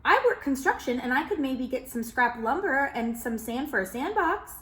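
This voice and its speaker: A woman's voice: high-pitched, expressive, normal speed, a bit bright. A woman voicing a friendly neighbor man who works construction, in a children's storybook. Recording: roomy echo.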